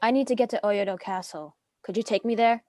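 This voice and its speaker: A woman acting as a defiant young nun with a medium-pitched voice. She is proud and commanding, speaking emphatically as in a staged drama. Faint music plays behind her. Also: fairly quick, darkish tone, lightly acted. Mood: firm, insistent. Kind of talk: dramatic script reading.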